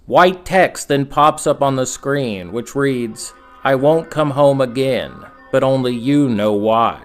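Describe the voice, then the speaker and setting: Man, mid-pitched voice, video essay narrator, measured storytelling delivery, rhetorical asides, faint music underneath. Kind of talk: scripted narration of analog horror commentary.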